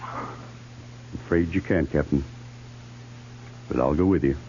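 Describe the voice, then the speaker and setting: A man's voice: very deep voice, slow pace. An actor in a 1950s radio western playing a wary, laconic frontier scout who is reluctant and torn in his loyalties. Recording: muffled, noisy 1950s radio recording.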